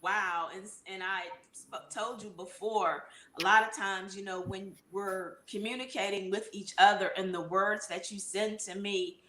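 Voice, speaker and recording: A woman's voice: mid-range voice, fairly even intonation, unhurried, few pauses, sharp tone, a little hiss or hum. Woman interviewer in an echoey room. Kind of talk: conversational interview. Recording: roomy, echoey room sound.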